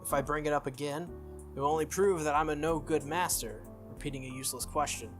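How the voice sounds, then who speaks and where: A gaming video host reading a visual novel aloud in an informal, expressive way and breaking off for casual asides. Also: mid-range voice, fast talker. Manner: informal, expressive reader who breaks off to comment. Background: background music.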